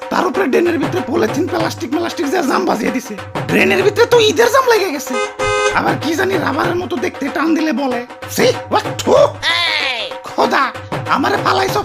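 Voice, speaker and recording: Shrill, whiny Bangladeshi cartoon puddle. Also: male voice, creaky, exaggerated voice. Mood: disgusted, despairing. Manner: whiny, melodramatic complaining. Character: self-pitying, hot-tempered male puddle of floodwater. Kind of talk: comic cartoon acting.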